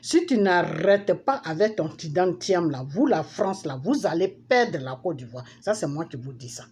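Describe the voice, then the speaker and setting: A middle-aged woman with an Ivorian accent delivering an impassioned, forceful political monologue, scolding and repeating phrases for emphasis. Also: fairly low pitch, big pitch swings, normal speed, husky. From Ivory Coast. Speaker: political commentator. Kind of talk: impassioned political monologue addressed to public figures. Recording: noisy amateur recording with background ambience.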